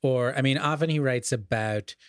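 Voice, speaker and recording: A man with a mid-pitched voice co-hosts a podcast in a very dry, close-sounding recording. He talks casually but like a scholar, unspooling ideas at length and revising his phrasing along the way. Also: normal speed, smooth, dry recording. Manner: discursive, scholarly, self-correcting. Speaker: podcast co-host. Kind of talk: casual literary discussion.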